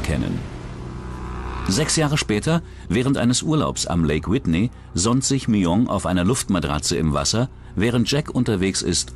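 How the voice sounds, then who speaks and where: Guy narrating a true crime doc, deep voice that moves around a lot. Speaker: documentary narrator.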